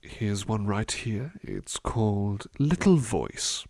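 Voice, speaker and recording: A man playing a gentle, devoted butler in an intimate ASMR roleplay, soft-spoken, courteous and reassuring, with hesitant phrasing. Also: fairly low pitch, very animated, slow pace, gravelly, no echo, clean studio sound, exaggerated voice. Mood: gently chatty. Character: gentle, devoted, polite butler attending to a master.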